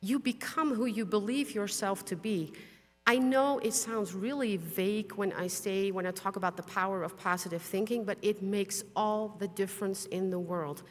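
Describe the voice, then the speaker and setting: A woman's voice: medium pitch, steady tone, moderate pace. Blunt Dutch-native woman in a hall. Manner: frank, blunt, with mild swearing. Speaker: conference speaker. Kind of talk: conference talk with slides. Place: large conference hall. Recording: long hall reverb.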